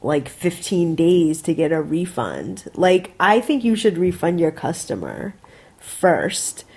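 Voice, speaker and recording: A woman's voice: on the low side, very animated, slow pace, creaky, darkish tone, husky. An American woman co-hosting a podcast, giving casual advice in an instructive, emphatic way. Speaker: podcast co-host.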